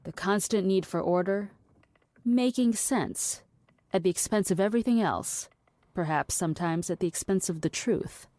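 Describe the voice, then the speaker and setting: A woman playing a skeptical, needling philosophical character in an audio drama, measured and condescending in delivery. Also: medium pitch, mellow, no echo, natural voice. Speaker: actor.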